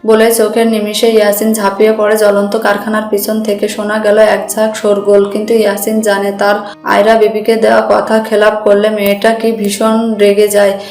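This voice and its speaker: Woman narrator reading a dramatic story, echoey, soft music behind. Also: mid-range voice, monotone, normal speed. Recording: roomy echo with music bed.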